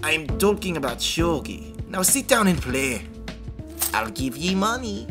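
A man's voice: fairly high pitch, big pitch swings, unhurried. Man playing a hearty, boozy old sailor over music. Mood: leering, jovial. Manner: hearty pirate-like speech. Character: boozy old male sailor, playful and leering. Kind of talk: comedic anime parody dub.

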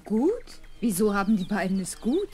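A woman's voice: medium pitch, sing-song, normal speed, mellow, slight background noise, close to an everyday voice. An actress playing a patient, kindly governess talking affectionately to a small girl in a children's radio play. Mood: cheerful, patient. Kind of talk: 1970s German children's radio play acting.

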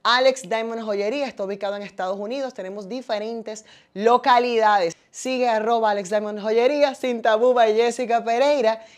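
A woman's voice: on the high side, fairly even intonation, moderate pace. A woman from Venezuela in her thirties, talking as a talk show host and presenter. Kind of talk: presenter talk, promos and casual interviewing.

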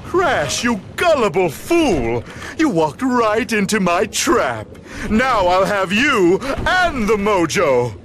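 A vain cartoon mad scientist villain from a video game. His voice is high and swoops widely with theatrical pomp. He takes his words slowly, savouring his evil boasts. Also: wildly swooping pitch, a bit bright, a little hiss or hum, heavily acted. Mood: gloating. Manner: pompous, theatrical.

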